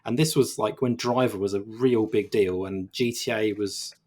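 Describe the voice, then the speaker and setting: A man's voice: lowish voice, steady tone, moderate pace, a little mellow. A man co-hosting a video game podcast, chatting casually and rambling with many restarts. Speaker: podcast co-host. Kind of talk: casual chat.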